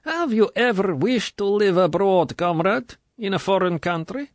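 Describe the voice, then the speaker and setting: A man's voice: high voice, expressive, normal speed, no echo, voice pushed up. A man voicing a sugary, menacing middle-aged female Soviet colonel, in an audiobook. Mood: probing. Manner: falsely sweet, smooth, then cold and commanding.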